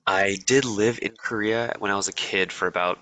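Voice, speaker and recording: A man's voice: on the low side, steady tone, normal speed, bright, forceful tone, no room sound, poor audio. A young American man from California, talking casually as an interview guest, with many ums and restarts. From USA.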